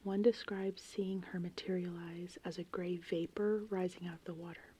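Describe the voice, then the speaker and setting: A woman in her thirties whispering softly and breathily in an informal, rambling ASMR style. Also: fairly low pitch, moderate pace, breathy edge. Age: 30s. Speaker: ASMR channel host. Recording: steady rain and thunderstorm ambience behind. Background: gentle rain and thunder.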